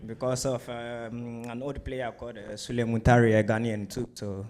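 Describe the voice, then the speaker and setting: Soft-spoken French-speaking footballer. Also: male voice, medium pitch, level tone. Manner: modest, short halting answers. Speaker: footballer guest. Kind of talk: halting interview answers.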